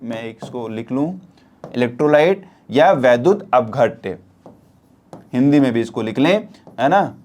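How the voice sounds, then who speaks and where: A man's voice: mid-range voice, lively intonation, a little slow, long pauses, darkish tone. A man teaching an exam-prep science lesson, repeating points and often checking with questions that students follow. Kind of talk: spoken classroom lesson.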